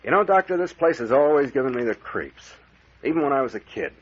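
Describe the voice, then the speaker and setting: An actor playing a brash, fearless captain in an old-time radio mystery, hard-boiled and slangy, with an American accent. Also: male voice, mid-range voice, big pitch swings, fast, husky. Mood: wry, bold. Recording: muffled, noisy old radio recording.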